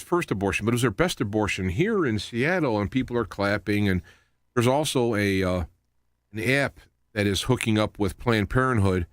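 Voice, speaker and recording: Male talk radio host, low voice, dry close sound, halting and loosely improvised delivery. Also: dry recording. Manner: halting, loosely wandering from point to point. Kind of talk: rambling live talk show monologue.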